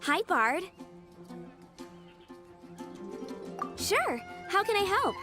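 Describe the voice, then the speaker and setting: A woman's voice: very high voice, very animated, a little slow, full character voice. A woman voicing a sweet, dreamy little girl in a video game, childlike and earnest. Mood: cheerful. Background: game music.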